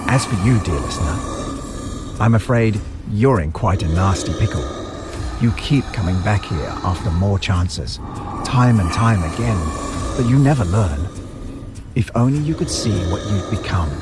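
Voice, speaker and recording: Man playing a low, politely menacing butcher villain. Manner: theatrical, mock-apologetic, taunting. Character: sinister, politely menacing butcher villain who feeds victims to monsters. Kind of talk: audio drama villain acting. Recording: music under the voice.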